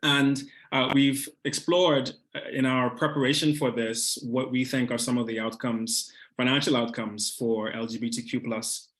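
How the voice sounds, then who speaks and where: A man moderating an online panel discussion, speaking in a measured way with frequent hesitations. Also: medium pitch, fairly even intonation, fairly bright tone. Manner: measured, hesitant with many fillers.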